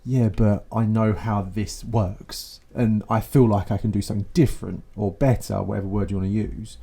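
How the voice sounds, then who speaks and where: A man's voice: fairly low pitch, normal speed, creaky, husky. A man, a company co-founder chatting casually with dry humour. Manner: relaxed, dry humour, colloquial. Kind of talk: casual podcast chat.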